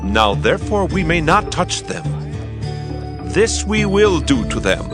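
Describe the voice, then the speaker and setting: Animated, resolute Israelite prince. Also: male voice, on the high side, measured pace, over music. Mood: solemn, resolute. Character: solemn authoritative Israelite prince addressing his people. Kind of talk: dramatized scripture acting.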